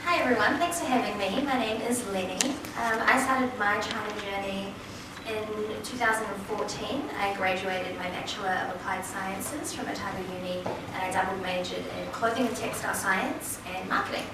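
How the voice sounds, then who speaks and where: A woman's voice: medium pitch, normal speed, hardly any pauses. A woman in her twenties with a New Zealand accent, telling a chatty personal story as a speaker at a forum. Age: 20s. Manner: chatty, lively storytelling with quoted asides. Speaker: panel speaker. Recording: echoey hall from far off, noisy.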